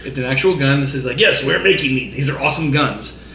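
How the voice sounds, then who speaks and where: A man's voice: medium pitch, expressive, a little fast, gravelly, sharp tone. A man on a podcast giving a profane, exasperated, emphatic movie rant among friends, repeating phrases for effect. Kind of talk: casual ranting movie review among friends. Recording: roomy echo, faint background noise.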